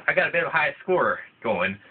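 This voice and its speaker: A man's voice: on the high side, slightly rough. A man with a slight cold, rambling through casual gameplay commentary with lots of restarts. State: voice thickened by a cold. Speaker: let's play gamer. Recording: tinny, phone-like lo-fi mic. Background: video game music playing.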